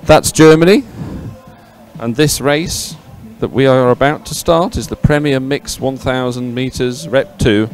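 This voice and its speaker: A man's voice: mid-range voice, unhurried. A man giving live sports commentary in an improvised, somewhat hesitant way. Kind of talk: live race commentary and chat. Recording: music behind the voice.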